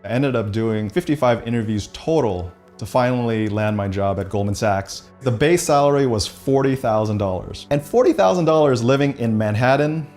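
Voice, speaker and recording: Brief: man, 40s, calm mid-pitched interview voice, faint music under. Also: normal speed, hardly any pauses. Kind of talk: documentary interview.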